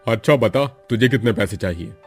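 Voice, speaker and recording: A man's voice: fairly low pitch, normal speed, faint music behind, lightly acted. Man doing a curt, stern village moneylender. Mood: businesslike.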